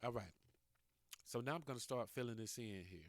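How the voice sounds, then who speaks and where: A man's voice: medium pitch, fairly quick. A man giving an informal step-by-step production tutorial as a DJ, thinking aloud while he works.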